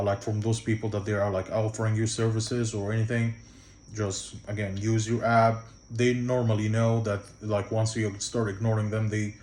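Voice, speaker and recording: A man's voice: lowish voice, darkish tone, reverberant. A man from Egypt with an Egyptian accent, giving casual travel tips to the camera in a loose, rambling way full of fillers and restarts. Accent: Egyptian Arabic. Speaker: travel vlogger.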